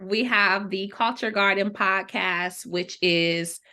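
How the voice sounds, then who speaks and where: A woman's voice: medium pitch, steady tone, takes it slowly, bright, forceful tone. A woman podcaster chatting casually as a guest on a show.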